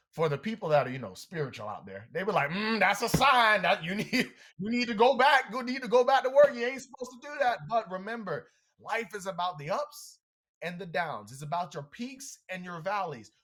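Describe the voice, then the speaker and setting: Man, fairly high voice, energetic, motivational storyteller, talks right to his listeners. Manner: animated, energetic, addresses listeners directly. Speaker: motivational speaker, podcast guest.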